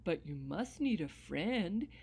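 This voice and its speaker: Woman playing a cheerful chatty female dog, bubbly, soft, storybook character, slow-paced. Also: lowish voice, very animated, gravelly, husky, heavily acted.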